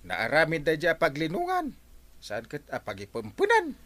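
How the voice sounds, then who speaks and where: An actor playing an easily exasperated village captain, an older uncle figure, in an Ilocano radio comedy drama. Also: male voice, a little high, wildly swooping pitch, moderate pace, a bit bright, no room sound.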